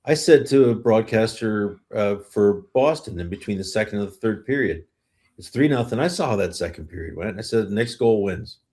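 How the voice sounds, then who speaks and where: A man's voice: on the low side, expressive, moderate pace, a little mellow. A middle-aged man hosting a sports podcast, asking and reacting in a conversational interview style. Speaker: podcast host.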